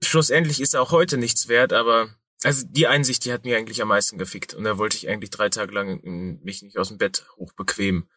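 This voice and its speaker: Man with a cold, podcast co-host, bright forceful voice, loose slangy banter, dry close sound. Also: lowish voice, steady tone, fairly quick, hardly any pauses, dry recording. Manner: rambling, crude slang-heavy banter. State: has a cold. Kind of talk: casual podcast chat.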